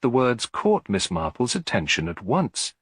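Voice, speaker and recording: A man's voice: medium pitch, wildly swooping pitch, a little slow, slightly rough, dry recording, clean studio sound. A man reading a mystery story aloud as a scripted audiobook narration.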